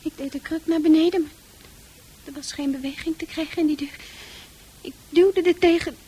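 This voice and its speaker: A woman's voice: sits high, steady tone, normal speed, dark tone, lightly acted. An actress playing a touchy, defiant young woman in a radio play, speaking in a stubborn, childlike and petulant way. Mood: bewildered. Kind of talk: Dutch radio play acting. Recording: noisy old radio drama recording.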